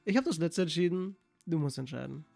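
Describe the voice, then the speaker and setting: A woman's voice: low-pitched, expressive, quick pace, vocal fry, dark tone. A woman who is a native German speaker, chatting casually as a let's player during a game. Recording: music behind the voice.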